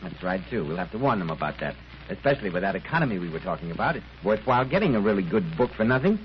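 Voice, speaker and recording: A man's voice: sits low, sing-song, very fast. A male radio host in 1930s announcing style, polished and courteous, delivering scripted sponsor chat like a salesman. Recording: dull, noisy 1930s radio recording.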